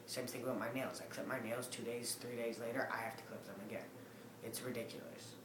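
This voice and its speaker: A young man with a raspy voice, rambling to a webcam in a casual update, with lots of ums. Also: mid-range voice, fairly even intonation, fairly quick, roomy sound, noisy background. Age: young adult. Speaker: vlogger. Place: living room with a Christmas tree. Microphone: webcam at desk distance.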